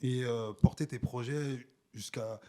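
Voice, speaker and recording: A man's voice: medium pitch, fairly even intonation, a little slow, dark tone. A man hosting a podcast in casual interview chat, informal and a little hesitant, dropping in English slang. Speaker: podcast host.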